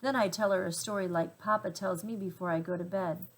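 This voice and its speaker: Woman, children's story reader, expressive, deliberate phrasing, gentle delivery. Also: lowish voice, rough recording.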